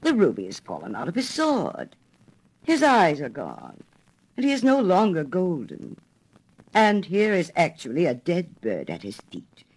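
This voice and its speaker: A woman's voice: on the low side, huge pitch swings, measured pace, a little mellow, husky, exaggerated voice, rough recording. A woman playing a pompous, fussy male town mayor in a fairy tale, with a declamatory manner. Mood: pompous disdain.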